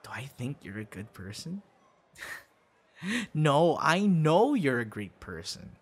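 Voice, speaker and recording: A man's voice: medium pitch, very animated, takes it slowly, breathy edge, exaggerated voice. A man acting as a friendly, teasing and supportive young would-be boyfriend in an ASMR audio roleplay, speaking conversationally and reassuringly. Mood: earnest, reassuring.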